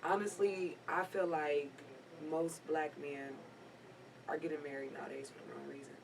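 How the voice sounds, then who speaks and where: A young woman in her twenties gives her candid, unhurried view on a discussion panel. She sits a little away from the microphone, with faint room noise underneath her voice. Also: low-pitched, steady tone, vocal fry, darkish tone, recorded from a distance, low-quality recording. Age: 20s. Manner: candid, laid-back, drawn-out phrasing. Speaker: guest panelist. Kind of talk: casual panel chat.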